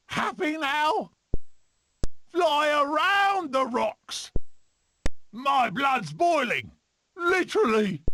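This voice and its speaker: A man voicing a cocky, excitable furry adventurer animal in a video game, with a theatrical, exclamatory delivery. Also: very high-pitched, creaky, slight background noise, exaggerated voice. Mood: frantic alarm.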